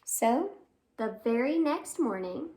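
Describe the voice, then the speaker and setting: Animated, high-voiced woman reading to children. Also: slow, slight background noise. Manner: expressive, exclaiming, gasping, asking listeners questions. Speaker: children's storytime host at a library. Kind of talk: animated read-aloud for young children with sung greeting.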